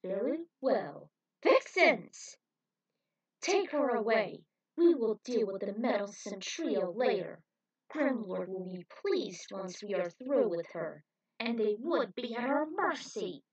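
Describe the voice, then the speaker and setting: A woman's voice: medium pitch, expressive, slow pace, husky, dry recording, exaggerated voice. A woman voicing a menacing, theatrical male villain minion with a grandiose, taunting delivery, in a story. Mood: commanding. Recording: dull, distant microphone.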